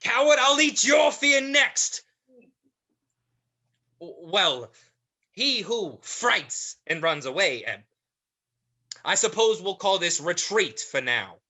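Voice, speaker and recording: A man's voice: high voice, very animated, takes it slowly, long pauses, sharp tone, full character voice. A man playing a sly, cowardly weasel villain in a play reading, stammering and mock-formal. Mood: threatening. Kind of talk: dramatized play script reading.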